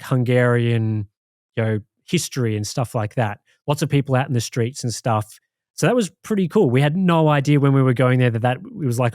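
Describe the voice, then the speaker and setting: An Australian man co-hosting a podcast, recounting things in a rambling, relaxed way with many fillers. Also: mid-range voice, dry recording, clean studio sound. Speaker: podcast co-host. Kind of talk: casual chat.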